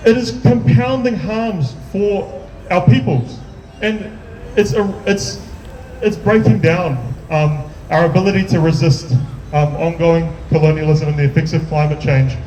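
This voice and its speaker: A man's voice: fairly high pitch, dark tone. Earnest man giving a rally speech. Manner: earnest, builds to raised intensity, repeats words when restarting. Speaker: activist speaker. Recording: echoey, distant, faint background noise.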